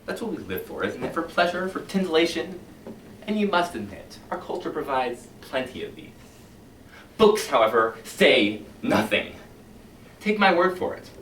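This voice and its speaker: A man performing a cynical middle-aged fire chief in a competition monologue, lecturing his firemen with sarcasm and swinging between loud and hushed delivery. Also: on the high side, very animated, moderate pace, pitched above the performer's own voice. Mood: sardonic. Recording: echoey hall heard from far off, faint hiss.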